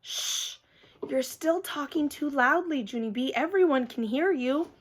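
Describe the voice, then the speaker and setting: Woman plays patient, exasperated storybook mom: high, lively, coaxing and hushing. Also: unhurried. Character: patient but exasperated mother of a young girl.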